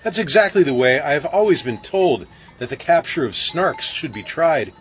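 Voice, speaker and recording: A bossy, hurried bellman barks orders in a raised, curt voice, as a man reading a storybook poem puts him on. Soft music plays underneath. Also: a little high, lively intonation, pitched above the performer's own voice. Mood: impatient. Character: brisk impatient male bellman, bossy captain.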